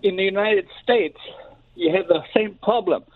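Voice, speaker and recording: A man's voice: high-pitched, sing-song, normal speed, creaky, no room sound. Older man on a tinny phone line. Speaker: caller. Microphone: telephone.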